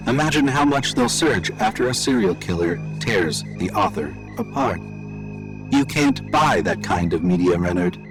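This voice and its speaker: A man voicing a calm, calculating and sinister AI house assistant in audio horror fiction, measured and matter-of-fact. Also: deep voice, voice pushed up. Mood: calm menace.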